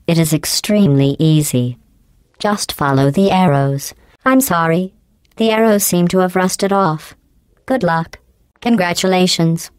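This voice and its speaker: A woman voicing a cold, sarcastic female AI that runs test chambers, delivering mocking insults in a clipped, deadpan game voice. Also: lowish voice, a little slow, smooth, no room sound, lightly acted. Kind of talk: video game voice clips.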